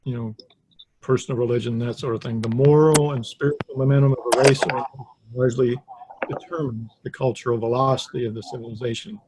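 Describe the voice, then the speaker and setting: A man's voice: mid-range voice, fairly even intonation, a little fast, noisy background. A man in an online study group, reading passages formally and adding casual comments with fillers. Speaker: webinar participant.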